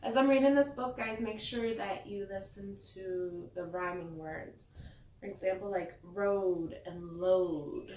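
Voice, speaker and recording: A woman reading a bedtime story aloud to children like a teacher, in an expressive sing-song way that stresses the rhymes. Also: medium pitch, measured pace, slight background noise. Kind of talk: bedtime story read-aloud with asides to young listeners. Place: echoey indoor room. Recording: echoey room, distant mic.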